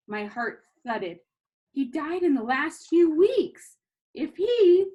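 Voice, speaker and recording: A woman reading a children's novel aloud in an animated, expressive storytelling manner. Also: a little high, slightly rough, muffled recording. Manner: animated, acts out dialogue.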